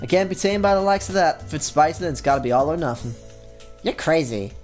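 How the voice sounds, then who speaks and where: A man voicing a loud, cocky teenage schoolgirl who loves spicy food. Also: on the high side, lively intonation, fast talker, faint music behind, natural voice. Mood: brash.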